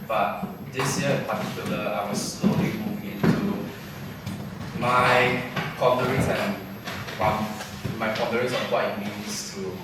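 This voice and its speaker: A man from Malaysia, a textile artist, speaking at an artist talk in a gallery, thoughtful and halting as he searches for words. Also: lowish voice. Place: gallery event space. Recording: distant roomy mic with noise.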